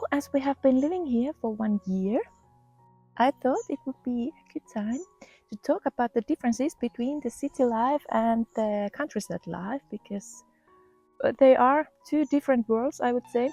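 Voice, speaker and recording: A woman, casually vlogging outdoors and often pausing to search for words. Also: on the high side. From Finland. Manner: relaxed, thoughtful, pauses to search for words. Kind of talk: casual walk-and-talk vlog. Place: outdoors in the countryside.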